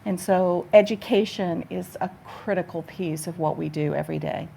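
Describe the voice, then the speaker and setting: A woman's voice: fairly low pitch, normal speed, gravelly, dark tone, a little hiss or hum. A woman speaking as a research nurse on a panel, earnest, with a few fillers. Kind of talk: panel remarks.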